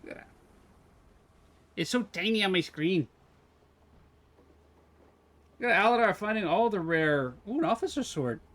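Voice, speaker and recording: A man's voice: sits high, very animated, moderate pace, a bit bright. A man streaming a puzzle game live, chatting casually and thinking aloud as he looks around. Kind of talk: casual live gameplay commentary.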